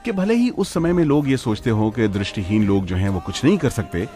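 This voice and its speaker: A man's voice: on the low side, very animated, a little fast, mellow, soft background music. A man hosting a radio show in a conversational, playful and slightly theatrical way.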